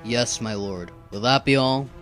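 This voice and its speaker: Male actor as dutiful assassin in a dark fantasy cartoon: measured, formal, firm, mid-pitched, over background music. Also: lightly acted. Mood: stern. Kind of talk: amateur animated fantasy series acting.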